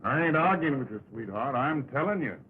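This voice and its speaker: A man's voice: medium pitch, expressive, brisk, lightly acted. A man playing a swaggering cowboy villain in an early talkie Western, with an American accent and a taunting, bullying drawl. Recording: tinny, noisy 1929 film soundtrack.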